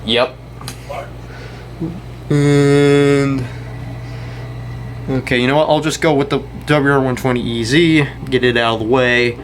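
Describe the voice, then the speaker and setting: A young American man giving a casual, off-the-cuff show-and-tell, talking in a halting, rambling way and often correcting himself. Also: medium pitch, steady tone. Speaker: hobbyist video creator. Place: indoors in a house. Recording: noisy room with air-conditioner hum.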